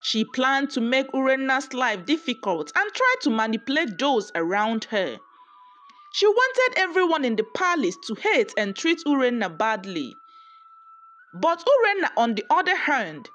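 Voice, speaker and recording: Female storyteller for folktale narration: higher voice, very animated intonation, expressive delivery. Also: a little slow, dull audio. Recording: music under the voice. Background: background music.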